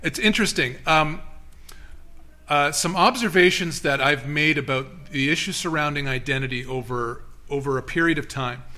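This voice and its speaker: A man's voice: on the high side, moderate pace, bright, forceful tone. A man giving an interactive sermon in a church, talking casually with the congregation, with many restarts and self-deprecating asides. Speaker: guest preacher. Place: church sanctuary.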